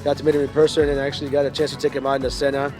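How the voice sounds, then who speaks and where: A man's voice: mid-range voice, completely flat delivery, racing, music in the background. A man hosting a racing club vlog, giving an upbeat recap of the day outdoors at a track.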